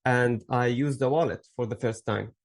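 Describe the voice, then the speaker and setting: A man's voice: mid-range voice, moderate pace. A man in his thirties from Syria, a podcast guest telling his story in a rambling way, with fillers and restarts. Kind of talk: casual interview storytelling.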